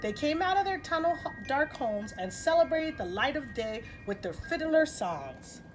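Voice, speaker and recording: A woman's voice: high-pitched, very animated, moderate pace, music in the background. A woman hosting story time for children, reading a picture book expressively with chatty asides. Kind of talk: picture-book read-aloud with casual asides.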